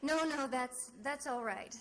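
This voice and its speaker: A woman's voice: high voice, unhurried, crowd noise. An actress playing a breezy, shrewd female private eye in old-time radio detective drama, wry, glib and teasing. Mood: teasing, guarded. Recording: vintage radio drama recording with music.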